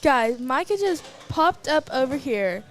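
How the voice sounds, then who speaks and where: A young female commentator in her teens with an American accent and a high voice. She talks informally and chattily, drifting off on asides as she covers live sport. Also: very animated, a little slow, slight background noise. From Texas, United States. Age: teenager. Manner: chatty, informal, easily sidetracked. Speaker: youth broadcast commentator.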